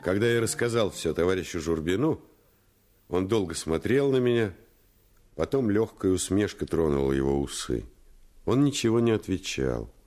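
A man playing a reflective storyteller who recalls his boyhood, narrating a radio play in measured, expressive phrases. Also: deep voice, faint music behind.

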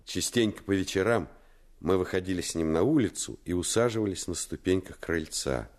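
An actor playing a grown man who recalls his boyhood, narrating a Russian radio play in an expressive, reflective literary style. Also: low-pitched, a little fast. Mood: reminiscing. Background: music.

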